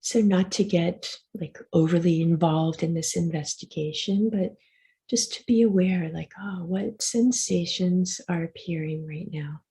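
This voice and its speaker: A woman meditation teacher with a lowish, mellow voice and a good deal of creak. She gives soft, reassuring guidance, leaving pauses between gentle instructions. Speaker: meditation teacher leading a session.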